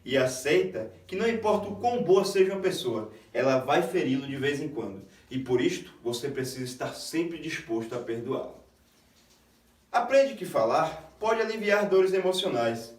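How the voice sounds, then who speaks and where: A man's voice: fairly high pitch, expressive, moderate pace, natural voice. A man with a Brazilian accent, an actor reciting a scripted theatrical monologue. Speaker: stage actor. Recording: echoey room, distant microphone.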